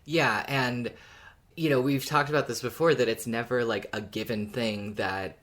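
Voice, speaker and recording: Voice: medium pitch, steady tone, normal speed, fairly bright tone. A podcast panelist in casual discussion, explaining thoughts in long, winding sentences.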